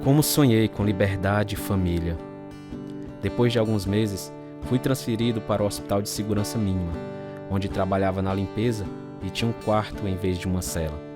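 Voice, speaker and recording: A male actor plays a bitter, troubled drinker recounting his downfall in a low voice with a Brazilian accent. The delivery is grave and dramatic, in the style of a radio testimony drama. Prominent acoustic guitar music plays behind him. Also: normal speed, close to an everyday voice. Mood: grave, reflective. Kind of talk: dramatized first-person radio narration.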